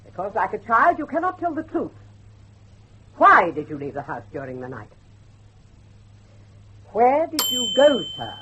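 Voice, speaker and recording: A woman's voice: mid-range voice, very animated, a little slow, long pauses, sharp tone, breathy edge, lo-fi sound, full character voice. An actress playing a stern, pious old grandmother in a horror radio drama, imperious and scolding in manner.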